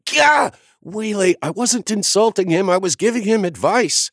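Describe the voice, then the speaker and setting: A man voicing a sharp-tongued, competitive grown woman who talks in a snippy, wisecracking way. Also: fairly low pitch, lively intonation, normal speed, dry recording, clean studio sound, pitched above the performer's own voice, close to an everyday voice. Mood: exasperated.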